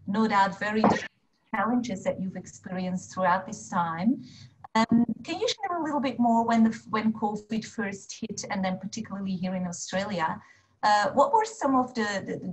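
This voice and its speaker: A woman whose first language is Dutch moderates a business webinar over a video call. She asks upbeat, encouraging questions, and her pitch is typical for a woman. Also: a little hiss or hum, poor audio. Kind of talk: moderating a panel.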